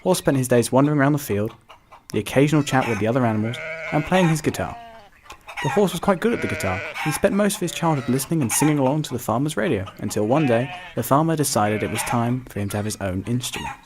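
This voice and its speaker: A man's voice: mid-range voice, lively intonation, fast, background noise. A man reading scripted narration for an animal story.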